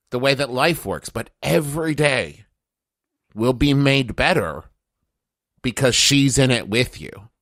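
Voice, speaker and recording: A man playing a loyal, plain-spoken adventurer in a tabletop roleplaying game, speaking in a measured, earnest way. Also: mid-range voice, fairly bright tone, dry recording, clean studio sound. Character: loyal male adventurer, plain-spoken, heartfelt, comforting friend. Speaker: player.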